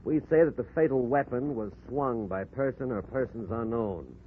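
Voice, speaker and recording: An actor playing a matter-of-fact police lieutenant in a 1940s radio drama, giving a dry, formal report. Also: male voice, medium pitch, moderate pace, natural voice. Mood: dry, official. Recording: noisy, tinny 1940s radio recording.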